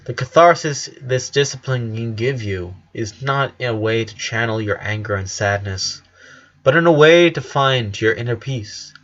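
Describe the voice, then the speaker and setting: A man voicing a calm, understanding male karate sensei in a storybook reading, gentle and measured. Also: medium pitch, highs cut off, natural voice. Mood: calm, consoling. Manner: gentle, measured, wise counselling.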